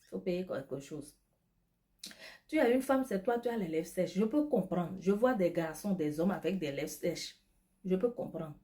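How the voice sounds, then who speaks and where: Preachy woman with a mellow voice. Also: fairly low pitch, lively intonation, normal speed. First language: not French. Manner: preachy, emphatic, addresses viewer as tu, repeats key points. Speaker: beauty advice vlogger selling skincare products. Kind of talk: direct-to-camera advice talk.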